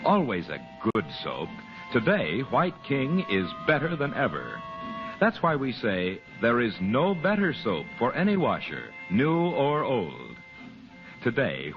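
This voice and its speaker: Male vintage radio announcer: formal, emphatic sales read with big swings in pitch, dull archival sound. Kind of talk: scripted old-time radio commercial and sign-off. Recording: dull old radio recording. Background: music.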